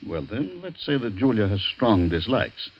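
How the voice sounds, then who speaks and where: An actor playing a middle-aged guardian, polite but disapproving and insinuating, in 1940s radio drama. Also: male voice, on the low side, big pitch swings, normal speed, breathy edge. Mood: grave, disapproving. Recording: dull vintage radio recording.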